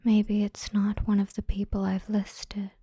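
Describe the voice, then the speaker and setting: A woman guiding a hypnosis relaxation in a soothing, gentle, breathy whisper, unhurried and with frequent pauses, close to the microphone. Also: mid-range voice, completely flat delivery, dry recording. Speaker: hypnosis guide. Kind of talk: whispered ASMR guided relaxation storytelling.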